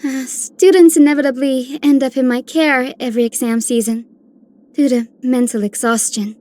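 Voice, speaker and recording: A woman's voice: high voice, fairly even intonation, normal speed, darkish tone, natural voice. A woman voicing a dutiful, caring young healer in a video game, polite and earnest. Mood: mildly exasperated. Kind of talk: video game character voice lines.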